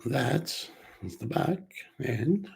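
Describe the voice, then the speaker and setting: A man, hoarse and under the weather, clearing his throat often as he reads film box covers aloud in a slow, relaxed unboxing. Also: medium pitch, slight background noise. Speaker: collector reviewing a film set.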